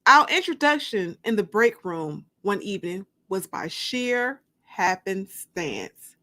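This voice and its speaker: Slow, drawn-out woman livestream host. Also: medium pitch, very animated, bright, forceful tone. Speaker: host and author. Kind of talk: livestream chat and dramatic book reading.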